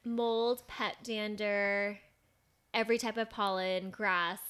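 A woman's voice: medium pitch, flat delivery, slow, a bit bright, clear, resonant tone. A young woman from Northern California, a podcast guest with a nasal voice, telling stories in a chatty, self-deprecating way. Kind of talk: casual chat.

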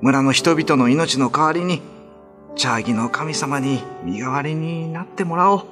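A man's voice: fairly high pitch, moderate pace, natural voice. A man playing a troubled young village man in a radio drama, speaking in a strained, anguished way. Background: music.

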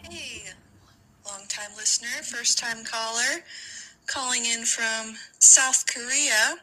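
A young woman leaving a recorded voice message for a podcast, dry and deadpan. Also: on the high side, slow, rough recording. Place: abroad, recorded on her own device.

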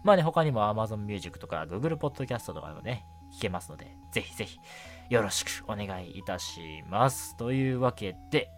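A man hosting a casual, chatty night radio show in a relaxed, gently self-deprecating way. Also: on the low side, moderate pace, faint music behind.

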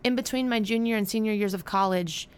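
Young american woman hosting her podcast, chatty and rambly, smooth clear voice, lots of ums. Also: mid-range voice, brisk. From United States. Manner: chatty and rambling, with fillers and self-corrections. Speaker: podcast host. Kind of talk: casual solo podcast chat.

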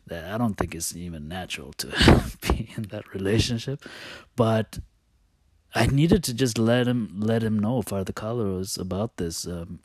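A man with a lowish voice talks casually and openly about his own past. He thinks aloud, halting and wandering before picking the story back up. The sound is very dry, with no room echo. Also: a little mellow. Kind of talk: casual, confessional personal storytelling.

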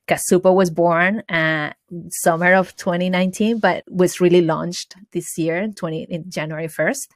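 A woman from Venezuela speaks with a Venezuelan accent and a low, deep voice. She talks earnestly about running her small business and often restarts her words mid-thought. The sound is dry and close, with no room echo. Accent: Venezuelan Spanish. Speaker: guest, small business owner. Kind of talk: casual interview chat.

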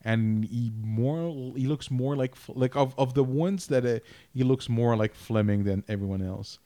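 A man co-hosting a podcast, talking in a loose, casual way and stumbling over his words as he works out his thoughts. His voice sits at an ordinary pitch for a man. The sound is dry and close, with no room around it. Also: dark tone, dry recording. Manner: halting, repeats words while thinking. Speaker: podcast co-host. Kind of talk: casual chat.

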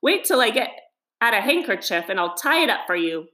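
Storybook rat, played by a woman: male, good-hearted, eager and easily worked up, with highly animated intonation. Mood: kindly. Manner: brisk, eager, exasperated when thwarted.

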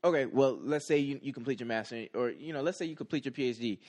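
Man, twenties, medium pitch, casual podcast chat, halting delivery, repeats phrases. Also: darkish tone. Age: 20s. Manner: halting, circling back over phrases.

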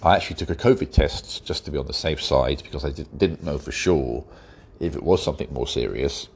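A man hosting his own podcast, congested and stuffy-nosed from hay fever, talking in a wry, measured way and a bit slowly. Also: rumbling low, husky. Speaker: podcast host. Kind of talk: solo podcast commentary.

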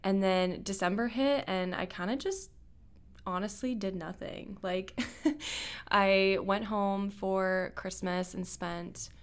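A woman's voice: mid-range voice, steady tone, takes it slowly, clear, resonant tone. A young adult woman from Nebraska, co-hosting a podcast in chatty casual talk, often laughing and saying "like.". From Nebraska, USA. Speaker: podcast co-host. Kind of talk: casual chat.

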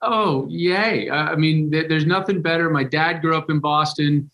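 A man guesting on a casual podcast chat, speaking in a measured, friendly and businesslike way. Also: a little high, fairly bright tone. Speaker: podcast guest, equipment company rep.

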